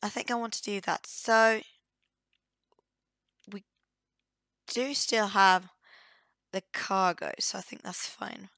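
A woman hosts a casual gaming video, thinking aloud in a hesitant way. Her voice is hoarse and croaky from a cold, yet bright, at an average pitch. The recording is close and dry. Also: fairly even intonation, slow pace, long pauses, dry recording. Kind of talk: casual let's play commentary.

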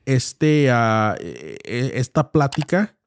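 A man's voice: medium pitch, very animated, slow, noisy background. A man in his thirties with a Mexican Spanish accent, co-hosting a podcast and arguing his point insistently with lots of slang. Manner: insistent, argumentative, heavy slang. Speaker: podcast co-host. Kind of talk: heated casual debate. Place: small studio with foam panels. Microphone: studio mic on boom arm, headphones.

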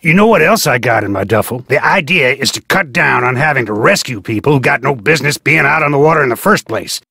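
An actor playing a gruff, folksy middle-aged fisherman in a video game, talking in a drawling, chuckling way. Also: mid-range voice, lively intonation, fast talker, bright, forceful tone, no echo, clean studio sound, heavily acted. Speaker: game character.

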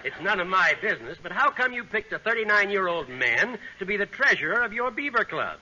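A man's voice: high-pitched, very animated, fairly quick, bright, forceful tone, full character voice. An actor playing a friendly, curious male salesman in a 1940s radio comedy, on a muffled old recording with heavy hiss.

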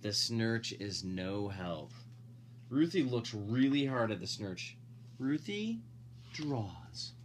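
A man's voice: fairly low pitch, big pitch swings, takes it slowly, slight background noise. A dad reading a picture book aloud expressively, drawing out emphasized words and adding playful, casual asides to his listeners. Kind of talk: animated storybook read-aloud with casual asides.

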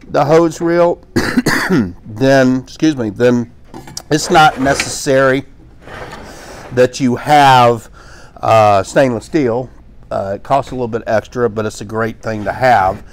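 A man's voice: mid-range voice, a little hiss or hum. Casual middle-aged man demoing in a workshop. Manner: casual and rambling, with many fillers and restarts. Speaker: pressure washing instructor. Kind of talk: hands-on how-to demonstration. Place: small workshop with tool shelves, indoors.

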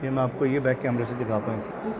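A man in his thirties giving a casual walking tour of a large museum hall, pointing out exhibits in a loose, halting way on a handheld phone. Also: mid-range voice, steady tone, crowd noise. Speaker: travel vlogger. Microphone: phone held at arm's length with earphone mic. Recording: loud hall background noise.

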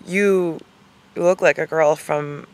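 A woman in her thirties giving a dramatic, mock-emotional reading of song lyrics, with halting, deliberate pauses, recorded outdoors on a handheld camera. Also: low voice, fairly even intonation, low-quality recording. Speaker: comedic video host. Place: lying outdoors in a hammock. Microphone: camera held at arm's length.

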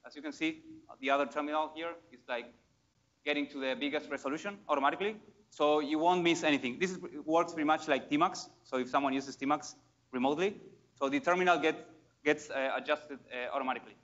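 A man presents a live demo at a meetup, casual and excited, often restarting his phrases. His voice sits a bit high. The recording sounds muffled and lo-fi. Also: quick pace. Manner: casual, enthusiastic, many fillers and restarts. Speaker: conference speaker. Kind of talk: live tech demo talk.

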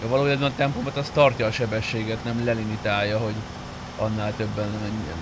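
A man's voice: fairly low pitch, flat delivery, heavy background noise. A man chatting casually and a bit grumbly with friends while streaming a game. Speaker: streamer playing online roleplay game. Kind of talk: casual gaming chat with friends.

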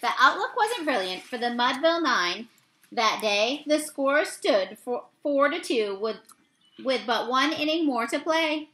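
A woman with a high, bright voice reads verse aloud from a picture book. Her delivery is halting, with the odd misreading that she goes back to fix. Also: expressive. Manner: halting, with misreadings and self-corrections. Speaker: read-aloud reader. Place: small indoor room.

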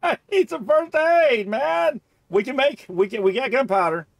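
A man's voice: extremely high pitch, animated, normal speed, gravelly, no echo, clean studio sound. A man giving casual video game playthrough commentary, jokey and thinking aloud as he goes.

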